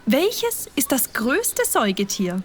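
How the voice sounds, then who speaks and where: A woman's voice: sits high, wildly swooping pitch, takes it slowly, a little hiss or hum, full character voice. A sing-song fox mother. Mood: cheerful. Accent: Swiss German. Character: warm fox mother, motherly quiz host.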